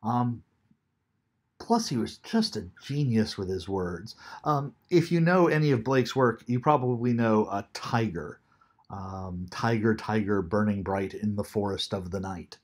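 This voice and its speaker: A man in his fifties giving a recorded lecture from an armchair at home, thoughtful and unhurried with frequent ums, into a webcam. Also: lowish voice, lively intonation. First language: English. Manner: unhurried, thoughtful, many ums, reads poetry aloud slowly. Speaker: teacher. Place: small room at home, seated in armchair.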